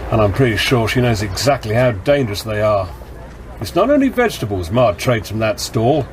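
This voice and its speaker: An actor playing a middle-aged, seasoned policeman with a husky voice, steady and firm, in a radio drama. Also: on the low side, fairly quick, a little hiss or hum. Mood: urgent, resolute. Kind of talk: radio drama acting with first-person narration.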